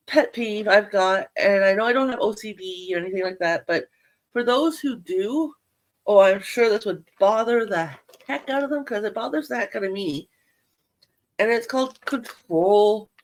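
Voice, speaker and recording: A middle-aged woman, nasal from a head cold, chatting casually and rambling a little as she shows her knitting to viewers. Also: mid-range voice. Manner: chatty, rambling, self-deprecating. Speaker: yarn crafting vlogger. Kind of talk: casual show-and-tell chat.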